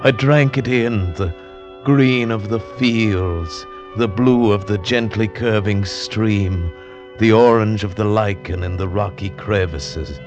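A man's voice: fairly low pitch, takes it slowly, darkish tone. An actor playing an earnest, yearning young man in love, who also narrates the story in an old radio drama. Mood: wistful narration. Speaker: narrator and lead. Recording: lo-fi old radio recording with music.

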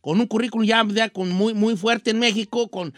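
A man's voice: high-pitched, fairly even intonation, normal speed, a bit bright, no echo, clean studio sound. A man hosting an interview, chatty and joking, rambling with many fillers. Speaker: radio host. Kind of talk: casual chat.

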